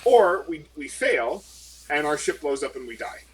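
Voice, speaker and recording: A man's voice: a little high, big pitch swings, a little slow, breathy edge, poor audio. A man playing a tabletop game, making a case with many fillers and hedges. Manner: persuasive, hedging with fillers. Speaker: player. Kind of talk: casual tabletop game talk.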